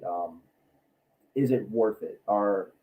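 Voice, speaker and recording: A man with a raspy voice from allergies, chatting casually on a livestream about his builds, rambling with many ums and restarts. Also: fairly low pitch, takes it slowly, phone-quality sound. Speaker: cosplay maker and YouTuber.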